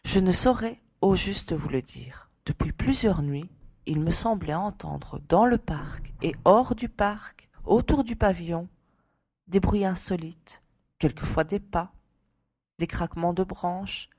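A woman playing a frightened but composed young lady giving testimony in a dramatised audiobook, with a breathy voice. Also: lowish voice, takes it slowly, a little mellow, heavily acted. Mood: uneasy, measured.

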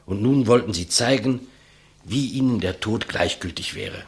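An actor playing a humble, despised executioner in a 1950s German radio play, deferential, plain-spoken and reflective. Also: male voice, on the low side, moderate pace, breathy edge. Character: humble, despised old-school male executioner, quietly matter-of-fact. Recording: muffled vintage 1959 radio recording.

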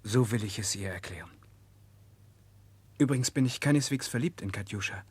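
A man's voice: fairly low pitch, fairly quick, husky, dry recording. A man playing a principled, protective political exile in a 1960s German radio drama, speaking formally and directly. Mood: earnest.

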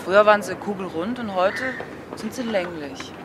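An actress playing a curious interviewer on location in a radio play, with short probing questions and remarks. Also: female voice, medium pitch, moderate pace, crowd noise, background noise, lightly acted.

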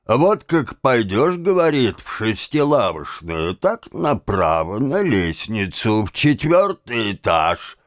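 A man's voice: fairly low pitch, big pitch swings, slow pace, hardly any pauses, dry recording, studio quality. A man voicing a tipsy, insolent manservant in an audiobook, drawling and repeating himself in an obstinate, evasive way. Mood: sullen, rambling. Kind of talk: dramatised audiobook of a classic novel.

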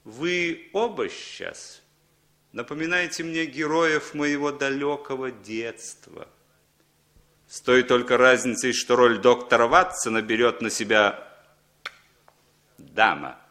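An actor playing a cool, skeptical Western spy in a Soviet radio play, dry, sardonic and measured. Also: male voice, medium pitch, bright, forceful tone.

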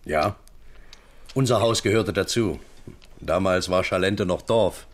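Low-voiced, wry small-town householder. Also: male voice, unhurried. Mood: wry, grumbling. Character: hospitable but grumbling small-town householder, disdainful, rural-rooted. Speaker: actor. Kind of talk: German radio crime drama acting.

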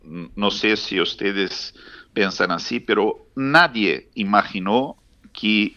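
A man speaking Spanish that is not his first language, stopping and restarting but pressing on with conviction. His voice is bright and forceful. The recording is dry and a little dull. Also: on the low side, takes it slowly, dry recording. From Palestinian community. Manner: hesitant, restarts often, speaks with urgent conviction. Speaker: interview guest. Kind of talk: halting interview answers.

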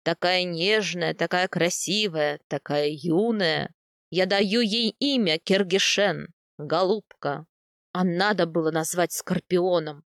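A woman's voice: on the low side, takes it slowly, no echo, clean studio sound, pitched below the performer's own voice. A woman voicing a stern older khan, a grave and formal father who speaks with authority in a fantasy audiobook. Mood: wry, amused. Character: stern older male khan, commanding, wryly indulgent father.

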